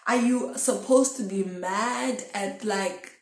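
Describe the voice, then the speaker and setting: A woman's voice: mid-range voice, slow pace. A woman chats casually and confessionally, rambling and thinking aloud as she questions herself. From Zimbabwe. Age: twenties. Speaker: video blogger. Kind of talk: casual confessional chat to camera. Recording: echoey room.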